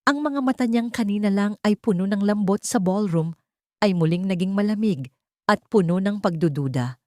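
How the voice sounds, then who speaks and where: A woman's voice: medium pitch, moderate pace, darkish tone, dry recording, clean studio sound. A woman narrator reading a scripted, dramatic story.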